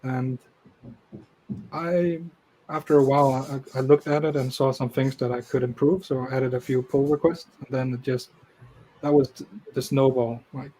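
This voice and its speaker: A man guest on a developer podcast, explaining things in an even, matter-of-fact way. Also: medium pitch, normal speed, husky, low-quality recording. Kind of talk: casual interview talk.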